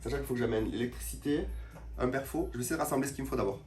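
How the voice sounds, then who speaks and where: A man's voice: mid-range voice, rapid-fire, a little mellow, faint music behind. A man with a cold, tired, chatting casually and in slang to viewers on a live stream as he shows building work. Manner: informal, slangy. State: has a cold, tired, back pain. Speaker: livestreamer showing building work.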